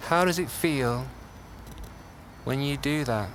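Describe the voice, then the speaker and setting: A man teaching a guided meditation, speaking gently in short phrases with long pauses. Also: medium pitch. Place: outdoors. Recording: outdoor class with clear background noise.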